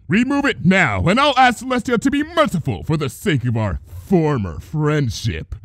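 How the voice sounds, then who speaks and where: A man's voice: on the high side, big pitch swings, moderate pace, a bit bright, dry recording, studio quality, pitched above the performer's own voice. A man voicing a wrathful, wounded female alicorn pony princess in a dramatic story reading, with a grand, accusing delivery. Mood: furious, commanding. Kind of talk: dramatic fan fiction reading.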